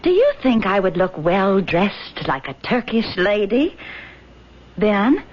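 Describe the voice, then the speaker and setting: An actress playing a spirited, doting and pettish seventeen-year-old fiancée in a radio drama. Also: female voice, medium pitch, big pitch swings, slow. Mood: exasperated, pettish. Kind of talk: 1970s radio drama acting. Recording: dull old radio broadcast recording.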